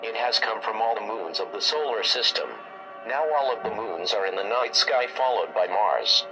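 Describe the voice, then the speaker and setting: A man with a low voice delivers scripted emergency alert bulletins in a mock broadcast. Music plays clearly behind him as he reads. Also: moderate pace, low-quality recording.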